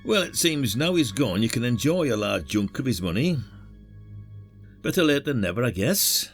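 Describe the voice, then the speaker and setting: A man's voice: medium pitch, very animated, normal speed, faint music behind. A man playing a blunt, ageing retired detective from the working class in a podcast drama, with a Yorkshire accent. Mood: dry, needling. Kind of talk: podcast audio drama acting.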